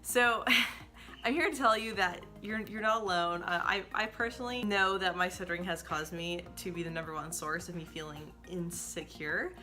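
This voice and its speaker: A woman's voice: lowish voice, moderate pace, sharp tone, soft background music. A young woman from the United States who stutters, talking casually to camera about her own experience, with frequent repeated sounds and restarts.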